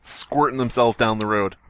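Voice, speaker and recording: A man's voice: mid-range voice, a little slow, a bit bright, no room sound. A man co-hosting a comedy podcast, reading a story aloud in a jokey, sweary way and breaking off to mock the text. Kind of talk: comic read-aloud with casual riffing.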